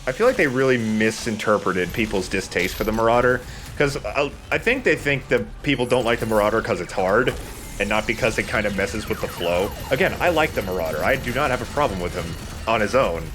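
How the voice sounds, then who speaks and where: Low-voiced man giving casual game commentary. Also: animated, fairly quick, noisy background. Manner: opinionated, informal. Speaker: let's play commentator. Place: voice over game audio.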